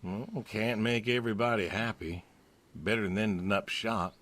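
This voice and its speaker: An actor playing a gentle, plainspoken older farmer in a video game, with a laconic, dry manner. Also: male voice, lowish voice, steady tone, normal speed. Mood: resigned. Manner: laconic, dry, folksy, understated.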